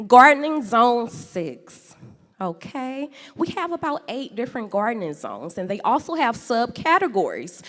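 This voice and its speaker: A loud woman in her forties speaks to an audience, playful and animated, her pitch leaping all over. Her voice is bright and forceful. The sound rings through a big echoey hall. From St. Louis, USA. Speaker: guest speaker. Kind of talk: lively public talk with audience games.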